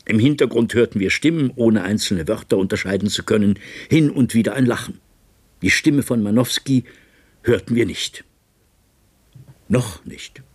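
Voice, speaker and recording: Husky, sober male witness narrating. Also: lowish voice. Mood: tense, restrained. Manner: measured, restrained, suspenseful. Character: sober, watchful male colleague recounting tense events. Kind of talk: radio play narration in German.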